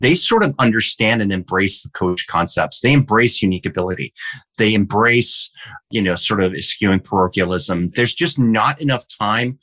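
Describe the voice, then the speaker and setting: A man in his fifties, a native Danish speaker from Denmark, telling his life story as a guest in a conversational interview in a measured, reflective way. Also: on the low side, animated, fairly bright tone.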